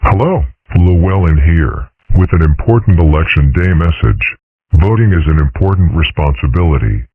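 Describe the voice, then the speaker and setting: A man voicing a sardonic adult mentor figure, deadpan and mock-solemn, in an amateur comic dub. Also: rumbling low, dry recording. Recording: dry, phone-like narrow sound.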